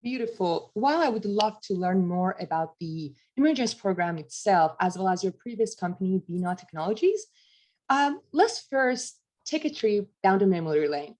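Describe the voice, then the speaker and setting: A woman's voice: mid-range voice, lively intonation, normal speed, a little mellow. A woman hosting an interview, asking questions politely and appreciatively. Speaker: interview host. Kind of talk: interview conversation.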